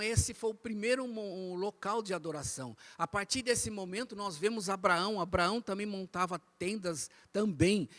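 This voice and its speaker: High-pitched man teaching Bible class. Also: a bit bright. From Brazil. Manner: didactic, repeats phrases, asks rhetorical questions. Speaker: Bible school teacher at a church. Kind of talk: church Bible lesson, part preaching. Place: inside a church, speaking to a congregation.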